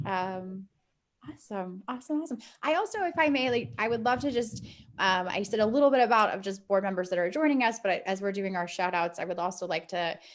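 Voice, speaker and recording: A woman talking unscripted on a group video call, effusive and a little halting, often repeating her phrases. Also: mid-range voice, expressive. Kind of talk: casual group talk, unscripted.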